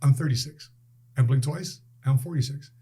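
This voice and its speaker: A man in his late forties, a guest in a casual interview, telling stories with animated emphasis and repeated phrases. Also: mid-range voice, normal speed, slightly rough. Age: 40s.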